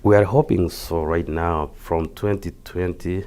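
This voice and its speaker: A man with a Kenyan accent explaining things as an interview guest, haltingly and repeating words. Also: low-pitched, steady tone, darkish tone. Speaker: interview guest, community health project worker.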